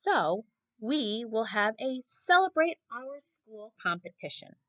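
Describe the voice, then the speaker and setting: A woman's voice: on the high side, very animated, unhurried, fairly bright tone, no echo, highs cut off. A woman reading a storybook and voicing a female school principal making a proud announcement to the pupils. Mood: announcing.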